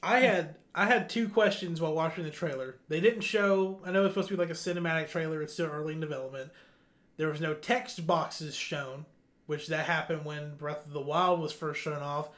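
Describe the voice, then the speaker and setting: Blunt man talking games, slightly higher voice. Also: brisk, fairly bright tone. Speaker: gaming podcast host. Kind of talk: casual gaming news discussion.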